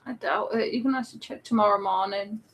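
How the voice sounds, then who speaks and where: A woman's voice: mid-range voice, steady tone, quick pace, a bit bright. A woman from northern England chatting casually as co-host, teasing and laughing often. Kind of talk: casual chat.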